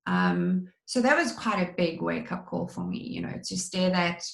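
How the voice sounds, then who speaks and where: A woman hosting an interview, talking candidly and with self-deprecating humour in a relaxed conversational way. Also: fairly low pitch, normal speed, gravelly, reverberant. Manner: candid, self-deprecating, talks about herself freely. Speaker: podcast host.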